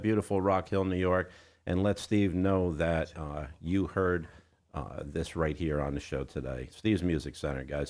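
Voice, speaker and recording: A man hosting a live radio show in a chatty, folksy way, speaking directly to listeners from a studio. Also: low voice. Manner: folksy, addresses listeners as guys. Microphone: studio broadcast microphone.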